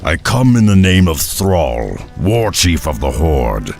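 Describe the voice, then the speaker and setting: An actor voicing a gruff, stoic half-ogre warrior with a gravelly voice in a video game, speaking bluntly and solemnly. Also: male voice, extremely low pitch, very animated, slow, darkish tone, faint music behind, exaggerated voice. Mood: formal. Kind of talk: video game cinematic acting.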